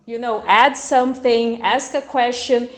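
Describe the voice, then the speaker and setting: A woman in her forties from Brazil hosts a relaxed online chat over a video call. Her voice sits high, and she keeps checking in with the listeners, encouraging them to join in. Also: unhurried. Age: 40s. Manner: encouraging, often checks in with "yeah?". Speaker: host and English teacher.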